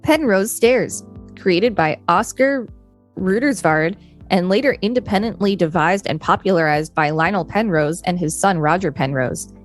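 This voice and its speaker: A woman's voice: fairly low pitch, expressive, normal speed, soft background music. A woman co-hosting a podcast, reading encyclopedia text aloud with brief conversational asides. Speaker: podcast co-host.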